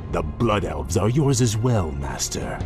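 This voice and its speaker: A man's voice: low voice, expressive, a little slow, over music. A man voicing a proud, devoted young elf prince in a game cutscene, formal, noble and earnest. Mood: resolute, loyal. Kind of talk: dramatic video game cutscene acting.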